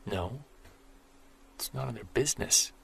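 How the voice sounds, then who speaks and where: A man playing an earnest, gravelly Bigfoot hunter. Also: mid-range voice, unhurried, no room sound, full character voice. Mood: pleading. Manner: earnest, soft-spoken, confessional. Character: Jared, Bigfoot investigator, earnest, hopeful. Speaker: narrator of audio drama. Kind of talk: audio drama narration.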